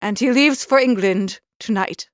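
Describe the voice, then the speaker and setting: A woman's voice: a little high, a little slow, no room sound, clean studio sound, natural voice. A woman voicing an earnest, mournful young male curate in an audiobook, speaking formally and pleadingly. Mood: mournful confessing. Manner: formal, wordy, pleading speech. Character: earnest, mournful young curate, a man, confessing gambling ruin. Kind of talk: audiobook character reading.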